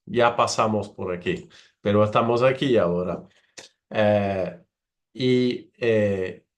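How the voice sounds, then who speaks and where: A man whose first language is Portuguese, presenting in a virtual panel over a video call, halting with many fillers and restarts. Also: mid-range voice. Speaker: researcher and panelist.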